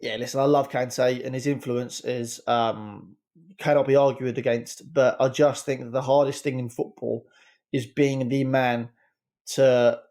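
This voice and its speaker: A man giving his opinions on a casual football podcast, rambling quickly and restarting his sentences. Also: medium pitch, warm tone. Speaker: podcast regular, head coach segment.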